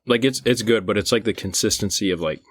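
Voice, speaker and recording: A man co-hosting a podcast, relaxed and casual, mostly giving brief reactions. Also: fairly low pitch, fast, slightly rough. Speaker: podcast co-host.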